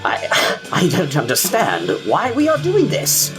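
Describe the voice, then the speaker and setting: A man's voice: sits high, wildly swooping pitch, a little slow, over music, heavily acted. A man playing a nervous, naive new government recruit in an audio drama, hesitant, stammering and bewildered.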